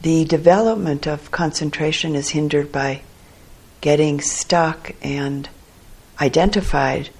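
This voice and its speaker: A woman meditation teacher leads a guided sit, speaking in gentle, unhurried phrases separated by long pauses. Her pace is very slow, and her voice has a gravelly, breathy husk. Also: low voice. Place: meditation hall.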